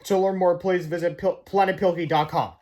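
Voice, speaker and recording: A man narrating a children's book aloud in an expressive, emphatic storytelling way. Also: high voice, fairly quick. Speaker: read-aloud reader.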